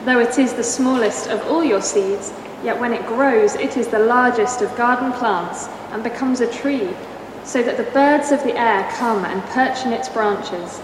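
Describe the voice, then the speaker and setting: Woman with a slightly high voice, reading scripture steadily, heard from far off in a noisy hall. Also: measured pace. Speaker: scripture reader. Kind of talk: Bible reading in church. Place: church hall.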